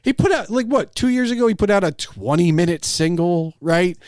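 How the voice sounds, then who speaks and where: A man's voice: sits high, expressive, fairly quick, a little mellow, no echo, clean studio sound. A middle-aged man in casual podcast conversation, rambling and digressive, often breaking off his clauses to start another. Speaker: podcast host.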